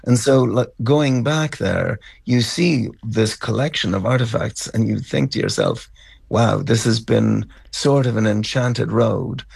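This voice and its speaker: A middle-aged or older Irish man telling stories as a musician being interviewed, reflective and somewhat halting. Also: fairly low pitch, vocal fry, darkish tone, slightly rough, no room sound. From County Cavan, Ireland. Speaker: interview guest, band musician. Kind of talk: conversational storytelling interview.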